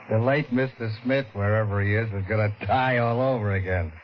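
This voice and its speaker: An actor playing a sardonic, hard-boiled down-and-out drifter, in 1940s radio acting. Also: male voice, lowish voice, close to an everyday voice. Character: wisecracking down-and-out male drifter. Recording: muffled old radio recording with loud hiss.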